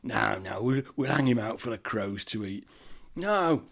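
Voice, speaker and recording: A man's voice: fairly low pitch, animated, unhurried, vocal fry, fairly bright tone, exaggerated voice. A man voicing a band of nasty drunken robbers squabbling over a cruel plan. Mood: gleeful.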